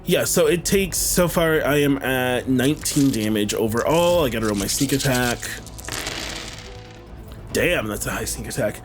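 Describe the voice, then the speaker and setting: A man's voice: mid-range voice, animated, normal speed. A man playing a tabletop game, talking casually and bantering with the others at the table. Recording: loud music bed behind voice. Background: background music.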